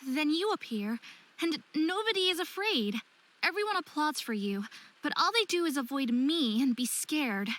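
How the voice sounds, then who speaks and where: A woman's voice: high-pitched, expressive, normal speed, fairly bright tone. An actress playing a young part-faerie woman in a visual novel game, apologetic and wary, with a hesitant, earnest way of talking. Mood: wounded, wary.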